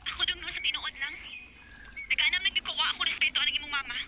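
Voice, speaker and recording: An aggrieved young Cebuano wife acted by a woman in a Bisaya radio drama. She pleads and complains at a quick clip in a very high voice, under heavy noise. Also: steady tone, lightly acted. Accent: Filipino, Cebuano. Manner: plaintive, complaining. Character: aggrieved, outspoken young wife, standing her ground. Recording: very noisy radio drama recording.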